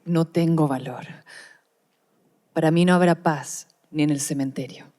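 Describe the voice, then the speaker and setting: A woman's voice: low-pitched, measured pace, warm tone. A woman playing a sorrowful, defeated married daughter, resigned and loyal, in a dramatised radio drama reading.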